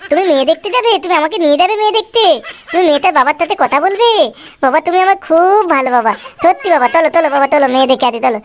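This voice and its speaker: A woman's voice: high voice, animated, very fast, a little hiss or hum. A woman voicing a whiny, eager little boy in a comic cartoon. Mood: excited, eager. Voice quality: babyish lisp. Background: music.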